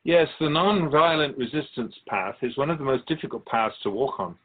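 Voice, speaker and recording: A man playing a wise, gentle, cryptic otherworldly keeper of souls in a radio drama, speaking in a measured, courteous, philosophical way. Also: mid-range voice, expressive, phone-quality sound, natural voice. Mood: cryptic, teaching.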